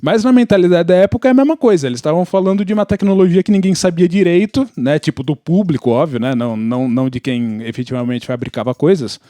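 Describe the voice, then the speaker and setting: A man's voice: on the high side, quick pace, hardly any pauses, warm tone, no echo. A Brazilian man chatting casually on a podcast, explaining things in an opinionated, informal way. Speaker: podcast participant. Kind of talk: casual pop-culture chat.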